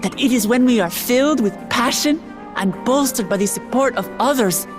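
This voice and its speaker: A woman voicing an earnest young princess and hopeful heir, giving a measured, sincere speech in a video game cutscene. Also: fairly high pitch, music in the background, natural voice. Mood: warm, moved.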